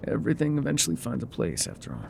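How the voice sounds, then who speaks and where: A man playing a laconic, weathered frontier guide in a calm, haunted, musing ASMR roleplay, with a low gravelly voice and folksy pauses. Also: a little hiss or hum, heavily acted. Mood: matter-of-fact. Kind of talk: immersive ASMR roleplay monologue.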